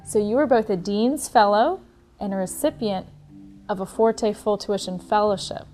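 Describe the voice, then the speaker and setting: A woman interviewer asking prepared questions in a measured, formal way. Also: medium pitch, darkish tone, soft background music.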